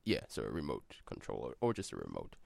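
Gravelly deep-voiced New Zealand guy. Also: wildly swooping pitch, fast, a little mellow, dry recording. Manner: rambling, swearing, self-correcting. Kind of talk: casual accent-tag vlog.

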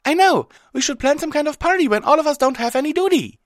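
A man voicing a bubbly, excitable young female cartoon pony, squeaky and exuberant. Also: quick pace, no echo, studio quality. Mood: excited, cheerful.

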